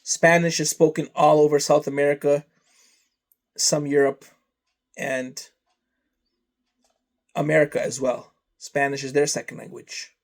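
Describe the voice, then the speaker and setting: A man whose first language is Serbian, talking slowly and rambling through a casual vlog, trailing off into long lists. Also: fairly high pitch, level tone. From Canada. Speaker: vlogger.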